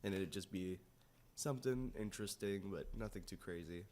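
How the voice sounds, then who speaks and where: A man's voice: low voice, moderate pace, darkish tone. A young man co-hosting a podcast, chatting casually and informally. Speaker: podcast co-host.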